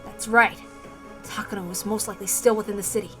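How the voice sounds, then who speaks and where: A woman's voice: medium pitch, fairly even intonation, quick pace, faint music behind, close to an everyday voice. A woman voicing a grave, urgent military man in a dramatic visual novel read-aloud.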